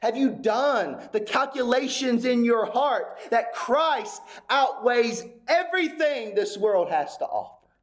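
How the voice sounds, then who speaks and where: High-voiced man preaching a sermon. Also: expressive, slow. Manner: preaching with rising intensity, repetitive rhetorical questions. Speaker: pastor.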